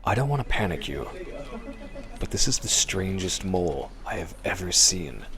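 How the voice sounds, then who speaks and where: A man acting a concerned, measured doctor who mutters as he examines a patient in a radio drama. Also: sits low, very animated, crowd noise. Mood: muttering, grave. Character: concerned male doctor examining a strange mole.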